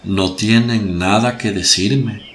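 An actor playing a solemn, compassionate preacher, speaking in a measured, earnest way in a radio drama. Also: male voice, on the low side, fairly even intonation, a little hiss or hum.

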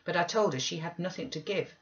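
A woman's voice: on the low side, quick pace, voice pushed up, lightly acted. A woman voicing a sickly, selfish teenage boy with a petulant, whining manner, from a classic novel. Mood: gloating, petulant. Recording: slightly dull webcam audio.

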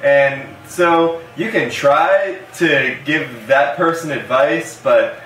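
Higher-voiced young American man, echoey room. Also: slow pace, fairly bright tone, a little hiss or hum. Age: young adult. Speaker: co-host. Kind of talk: casual banter to camera. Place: small indoor room with hard table. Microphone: camera a few feet away. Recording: echoey room, distant camera mic.

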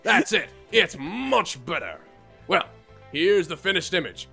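A man plays a world-weary woman running a manga team in a comedic visual novel. The delivery is theatrical and crude, with a bright, forceful edge and fry, swinging widely in pitch. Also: sing-song, unhurried, soft background music, heavily acted. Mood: bitter, ranting. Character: gruff, foul-mouthed, jaded female manga team leader.

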